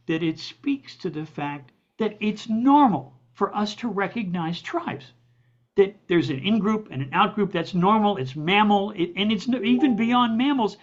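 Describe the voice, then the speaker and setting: A man being interviewed as a guest, explaining his views in a thoughtful way. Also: high-pitched. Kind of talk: conversational interview. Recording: dull lo-fi sound.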